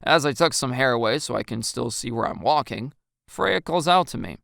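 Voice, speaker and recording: Very low-pitched woman narrating a story. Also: animated, moderate pace, creaky, dry recording, clean studio sound. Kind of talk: first-person scripted story narration.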